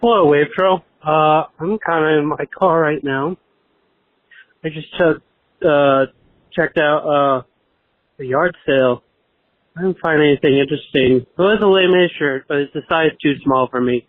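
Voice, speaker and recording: A man leaving a casual, low-key voicemail from his car in a halting, slangy way. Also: dry recording. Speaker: voicemail caller. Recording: dry, tinny phone voicemail.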